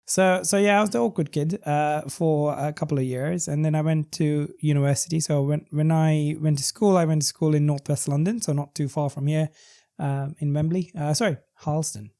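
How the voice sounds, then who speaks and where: A man's voice: on the high side, steady tone, brisk, hardly any pauses, warm tone. A man in his late thirties from Sri Lanka, a podcast guest in casual conversation who often stumbles and repeats words before finishing a thought. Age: 30s. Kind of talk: casual interview chat.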